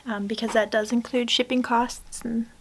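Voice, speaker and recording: A young woman with a high voice plays a courteous portrait artist in an ASMR roleplay. She is soft-spoken and polite, as if attending to one client at close range. Some ambient noise sits behind her in a small indoor room. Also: little pitch movement, normal speed. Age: young adult. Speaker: ASMR creator playing a portrait painter.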